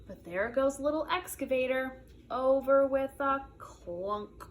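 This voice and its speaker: Very animated woman reading to young children. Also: sits high. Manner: animated, playful, drawn-out sound words and repetitions. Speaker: preschool teacher reading aloud. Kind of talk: expressive children's picture book read-aloud.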